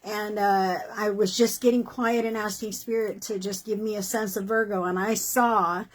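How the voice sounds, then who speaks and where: A woman reading tarot horoscopes in a casual, encouraging way, her voice worn and hoarse from doing many readings. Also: mid-range voice, fairly even intonation, normal speed. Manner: chatty, encouraging, many fillers like "you know" and "okay". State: losing her voice. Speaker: tarot reader.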